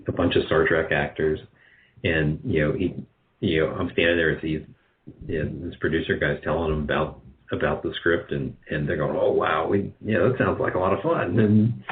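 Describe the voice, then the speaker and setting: A man's voice: low-pitched, narrow, tinny audio, slight background noise. A man chatting casually as an interview guest, modest and self-deprecating, rambling through false starts. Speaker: guest, radio drama producer.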